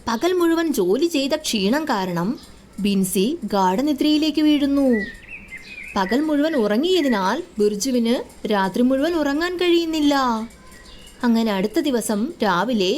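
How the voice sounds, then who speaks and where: High-pitched woman narrating a children's story. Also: animated, unhurried, a little mellow, a little hiss or hum.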